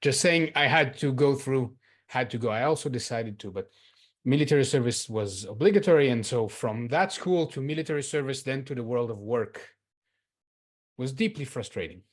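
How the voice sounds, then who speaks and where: A man from Israel shares his experience with a group during an online session. He talks in an earnest, reflective way, pausing with fillers, then pressing hard on the points he cares about. His voice sits in a middle register, heard through a video call. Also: mid-range voice, expressive. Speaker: workshop participant. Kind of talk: personal sharing in a group discussion.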